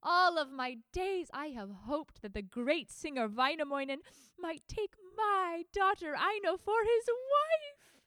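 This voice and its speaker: An excitable storybook mother, her voice soaring high and loud as she exclaims and lectures, played by a woman reading the tale. Her pitch leaps all over, and the sound stays dry and close. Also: takes it slowly, dry recording, full character voice. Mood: overjoyed. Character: excitable mother, overjoyed then scolding.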